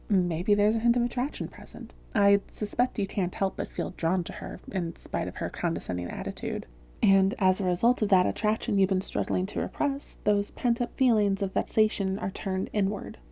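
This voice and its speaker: Woman playing a mellow, soothing vampire therapist. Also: medium pitch. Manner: measured, gentle, reassuring, breathy hushed phrases. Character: calm, soothing female vampire posing as a therapist. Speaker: voice actress in roleplay audio. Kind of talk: soft-spoken roleplay audio, therapist addressing listener.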